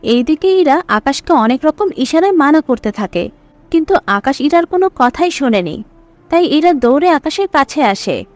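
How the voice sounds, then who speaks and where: High-voiced woman narrating a story. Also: big pitch swings, moderate pace.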